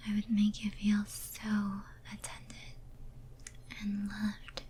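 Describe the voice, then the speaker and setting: Woman acting as clingy lonely demoness, ASMR roleplay, breathy whisper, slow and tender, soft dark tone. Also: mid-range voice, a little hiss or hum, natural voice. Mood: yearning, resentful. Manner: tender, intimate, hesitant. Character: lonely, clingy, obsessive female demon sealed away.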